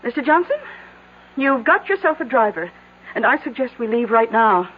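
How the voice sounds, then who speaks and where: A woman's voice: a little high, sing-song, normal speed, slightly rough. An actress with an American accent playing an earnest, plucky small-town woman in an old-time radio drama, on a narrow, dated recording. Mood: determined.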